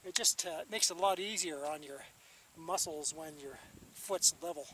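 Middle-aged guy, fairly high voice, laid back and rambly, outdoors in the snow. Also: normal speed, heavy background noise. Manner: relaxed, explanatory, with fillers and restarts. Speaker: backcountry skier reviewing gear. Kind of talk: casual outdoor vlog talk. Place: open snowy meadow outdoors. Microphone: action camera held at arm's length.

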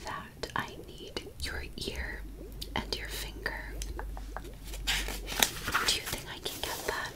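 Woman whispering as a sly, savoring witch. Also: natural voice. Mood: sly, pleased. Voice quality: breathy whisper. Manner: slow, savoring, repeats words for emphasis. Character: sly, delighted witch brewing potions. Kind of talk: whispered ASMR roleplay. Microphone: close desktop condenser microphone.

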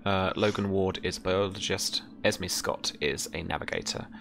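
A man's voice: low voice, normal speed, creaky. A young man running a tabletop game, casually explaining rules and details as game master.

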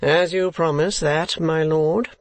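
A sly old male moneylender from a classic storybook, acted by a narrator. He speaks slowly and dryly at a middling pitch, with sneering, false deference. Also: a little mellow, close to an everyday voice. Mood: feigned reluctance. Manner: measured, dry, falsely deferential.

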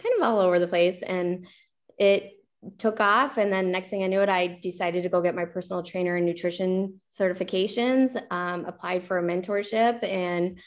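A woman's voice: lowish voice, fairly even intonation, moderate pace. A woman in her thirties chatting casually and enthusiastically as an interview guest and fitness coach on a video call. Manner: enthusiastic, conversational. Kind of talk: casual chat.

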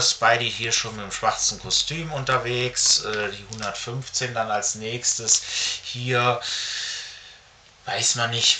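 Very bright-voiced middle-aged man, halting. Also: lowish voice. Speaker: comic collector and vlogger. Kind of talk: casual show-and-tell to camera. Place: small furnished room at home, indoors. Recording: faint background noise.

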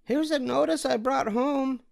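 A man's voice: a little slow, creaky, exaggerated voice. A man voicing an eager, chatty young girl bear cub in a storybook reading. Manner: excited squeaky kid voice. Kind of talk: storybook read-aloud.

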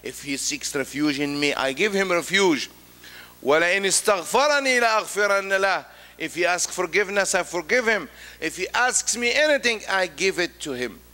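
A middle-aged man giving a Friday sermon as imam, emphatic, rising on key words and dropping low after, quoting Arabic quickly. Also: animated, pushed and bright. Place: large mosque prayer hall. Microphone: stand mic. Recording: long reverb of a large hall.

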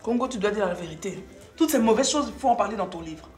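A woman's voice: medium pitch, expressive, fast talker, darkish tone, lightly acted. An actress playing a blunt, scolding housewife in her thirties who challenges others in naturalistic film dialogue. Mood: challenging. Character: blunt, scolding housewife impatient with intellectual talk. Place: dining room at a dinner table. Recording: roomy interior with music behind.

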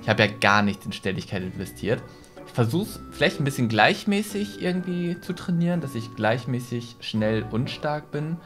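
A man's voice: mid-range voice, very animated, normal speed, a bit bright. A young man giving chatty, casual let's play commentary on a headset mic, thinking aloud as he goes. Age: young adult. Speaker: gaming YouTuber, host. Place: small decorated gaming room, indoors. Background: game music.

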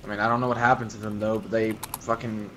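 A man chatting casually in improvised game roleplay, halting as he thinks aloud and swearing at times. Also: fairly low pitch, little pitch movement. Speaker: roleplay player. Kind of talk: casual improvised in-character chat. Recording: noisy game voice chat, keyboard typing.

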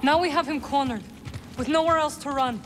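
An actress playing a resolute, guarded young witch in a video game, speaking in a measured, solemn way. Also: sits high, slight background noise, lightly acted. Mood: grim resolve. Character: resolute, guarded young woman, a Force-wielding witch ally. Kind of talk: cinematic video game acting. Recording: music behind the voice.

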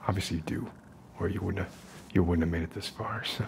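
A man in his forties playing an unstable, falsely reassuring survivalist captor in an ASMR roleplay, speaking softly and haltingly with a gravelly voice. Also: low voice, fairly even intonation, a little hiss or hum. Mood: uneasy friendliness. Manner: halting, hesitant, rambling. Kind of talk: ASMR roleplay, soft-spoken acted monologue. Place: dim concrete basement, indoors.

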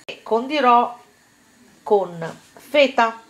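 A woman's voice: fairly high pitch, lively intonation, drawn-out words, fairly bright tone. A woman talking viewers through a home cooking recipe step by step in a casual, chatty way. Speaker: cooking vlogger. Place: home kitchen table, indoors.

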